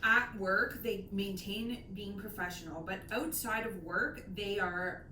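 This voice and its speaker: A woman's voice: mid-range voice, measured pace, sharp tone. A woman talking casually about books, enthusiastic and emphatic, often wandering off into side remarks. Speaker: co-host of a book discussion channel. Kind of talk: casual chatty book discussion. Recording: echoey room, distant mic, clear background noise.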